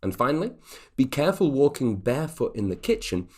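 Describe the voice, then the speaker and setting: A man's voice: mid-range voice, sing-song, brisk, darkish tone. A man teaching an online English grammar lesson, explaining patiently and checking in with the viewer as he goes.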